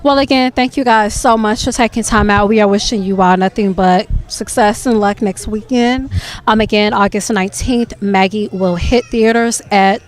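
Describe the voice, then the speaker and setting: A woman's voice: medium pitch, fairly even intonation, moderate pace. A woman hosting a casual interview, asking upbeat questions with a few fillers. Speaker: host.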